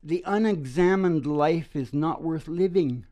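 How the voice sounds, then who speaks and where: A man's voice: fairly high pitch, a little slow, warm tone. A man hosting a casual philosophical chat, talking in a rambling, folksy, preachy way and often checking that he is agreed with. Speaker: host.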